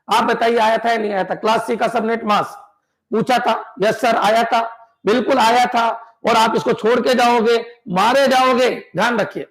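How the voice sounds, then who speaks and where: A man teaching a computer science exam class, repeating points and quizzing his students with "clear?" as he drills them. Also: very high voice, rough recording. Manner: emphatic, pushes exam tips and motivation.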